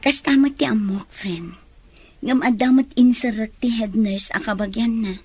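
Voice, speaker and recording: A woman's voice: a little high, moderate pace, a little mellow, slightly rough, faint music behind. A young woman with an Ilocano accent playing an eager, chummy nurse looking for work in a radio drama. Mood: disappointed, chatty.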